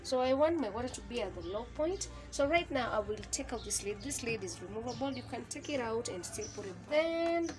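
A woman's voice: a little high, expressive, a little fast, hardly any pauses. A woman with a Kenyan accent, in her thirties, chatting casually as she explains each step of a laundry how-to in a small home laundry room, slipping in Swahili phrases. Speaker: vlogger.

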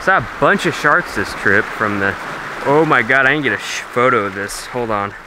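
A young man chatting casually to a handheld camera as he strolls a tropical beach, rambling and laid-back, getting excited now and then. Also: mid-range voice, moderate pace. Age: young adult. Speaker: travel vlogger. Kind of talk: casual vlog chat to camera. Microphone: action camera held at arm's length. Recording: outdoor camera mic with clear surf noise. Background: waves and outdoor ambience.

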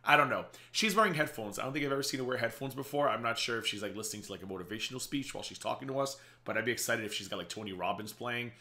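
A man in his thirties hosting a casual reaction video from a small home office, slightly raspy, chatty and sarcastic. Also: medium pitch, bright, forceful tone. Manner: chatty and sarcastic, quick asides. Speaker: reaction channel host.

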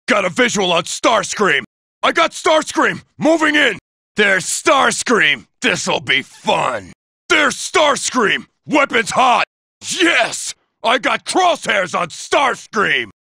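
A cocky, menacing robot trooper barks taunts in a video game. The voice is forceful and sharp with a heavy gravel to it. The delivery swings widely in pitch and stays aggressive and shouted. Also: male voice, no echo, clean studio sound, heavily acted. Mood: eager. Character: cocky, aggressive male robot soldier, a taunting rocket sniper.

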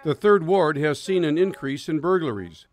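A man narrating a local news segment in an even, measured reporting style. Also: on the high side.

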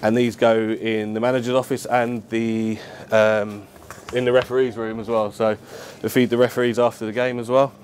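Over clear background noise, a man in his thirties talks at a middling pitch. He is a chef explaining his job in an informal, matter-of-fact way, as if chatting casually to camera. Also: level tone, normal speed, few pauses, darkish tone. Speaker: head chef at a football club. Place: indoors, club kitchen and dressing room.

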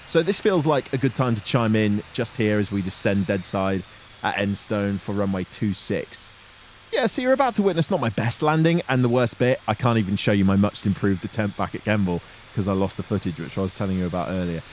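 A man's voice: fairly low pitch, animated, fairly quick, slight background noise. A British man giving a casual, self-critical voice-over as a student pilot vlogger.

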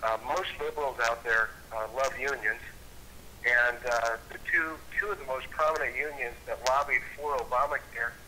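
A man's voice: mid-range voice, steady tone, moderate pace, bright, forceful tone, slight background noise, low-quality recording. Man making his point on a call. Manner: conversational, many uh fillers, rising on rhetorical questions. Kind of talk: conference call talk.

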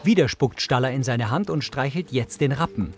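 A man reading scripted audio description of TV scenes in the present tense, measured and matter-of-fact. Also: medium pitch, animated, noisy background.

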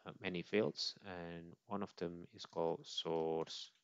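A man presenting an informal step-by-step software demo, on a slightly dull recording with mouse clicks. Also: deep voice, fairly even intonation, slow, clean tone, dry recording.

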